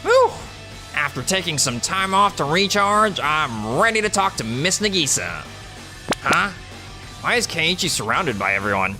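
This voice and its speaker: A man's voice: fairly high pitch, sing-song, a little slow, music in the background. A man voicing a cocky, prideful young salesman in a manga dub, whiny and self-important. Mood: eager, then puzzled. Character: cocky, prideful young male salesman, quick to deny his faults. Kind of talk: anime-style dubbed dialogue.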